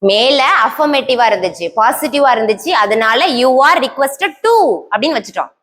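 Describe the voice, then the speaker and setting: A woman teaching a classroom grammar lesson, explaining step by step, quizzing the class and answering herself, and reading English example sentences out slowly word by word. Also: fairly high pitch, animated, fairly bright tone. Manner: scolds and teases students lightly between explanations.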